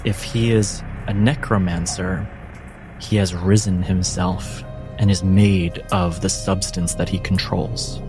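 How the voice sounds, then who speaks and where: A man narrating as game master in a tabletop game, measured and descriptive. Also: deep voice, flat delivery. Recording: clear music behind the voice.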